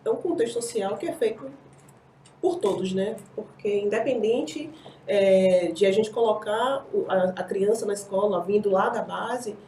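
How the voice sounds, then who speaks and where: A Brazilian woman on a panel explains her views in a steady, mellow voice, filling pauses with "é" and asking "sabe?". She sits some way off the mic in a roomy, echoing space, with a faint background hum. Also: medium pitch. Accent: Brazilian Portuguese. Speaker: panel guest. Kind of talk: panel discussion. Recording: distant mic in echoey room.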